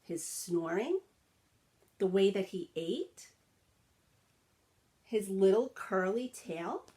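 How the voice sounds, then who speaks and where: A woman reading a picture book aloud like a teacher, expressive and sympathetic, adding simple explanations for children. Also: medium pitch, slow pace.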